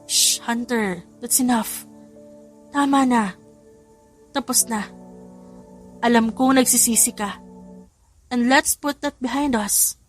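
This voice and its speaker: A woman's voice: fairly high pitch, takes it slowly, pauses often. Lady doing a sincere tender young wife, audio drama style, music in back. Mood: soothing. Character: earnest, protective young wife and niece.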